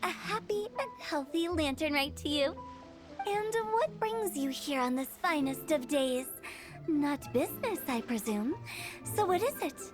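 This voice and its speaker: A woman playing a playful young woman in a video game, teasing and coy in a lively, sing-song way. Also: sits high, unhurried, music in the background.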